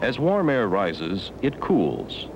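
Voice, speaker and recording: A man narrating a scripted educational film in a measured, formal, instructional manner on a 1970s soundtrack. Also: lowish voice, wildly swooping pitch, over music, low-quality recording. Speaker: documentary narrator.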